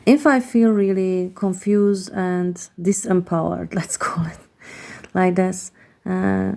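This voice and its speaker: Hungarian-accented woman reading tarot cards, hesitant and unhurried, dark gravelly voice. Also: lowish voice, steady tone. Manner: hesitant, searching for words with many pauses. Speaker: tarot card reader. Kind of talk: slow, reflective card reading.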